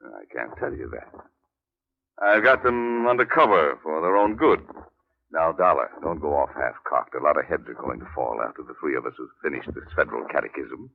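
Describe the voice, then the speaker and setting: An actor playing an aging, tired and wily crime boss with a gravelly, husky voice, emphatic in an old radio drama style. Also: male voice, very deep voice, expressive, moderate pace, exaggerated voice. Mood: stern, threatening. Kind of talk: 1940s-50s radio acting. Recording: muffled, narrow-band old radio recording.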